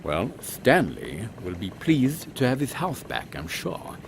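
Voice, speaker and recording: A man playing a courteous, dutiful airship captain in an audio drama, speaking with formal military politeness in a husky voice. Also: medium pitch, huge pitch swings, slow, background noise, exaggerated voice. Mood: courteous, brisk.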